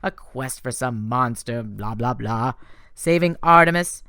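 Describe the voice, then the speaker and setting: A man voicing a vain, gushing goddess of love, high and theatrical with a dry, close sound. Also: expressive, unhurried, sharp tone, clear, resonant tone, no room sound.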